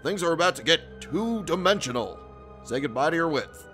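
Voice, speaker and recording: A performer playing an earnest male cartoon character, a man with an animated delivery, in a comic dub. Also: on the high side, normal speed, faint music behind. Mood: grave.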